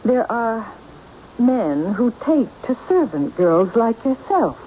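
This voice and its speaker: A middle-aged mother and household cook in a radio play, protective and quick to scold. Her voice sits fairly high and swings widely in pitch. The recording is dull, with some background noise. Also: big pitch swings. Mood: stern, warning. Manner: scolding, hesitant when broaching delicate warnings. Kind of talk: radio drama acting. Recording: dull, noisy vintage radio drama recording.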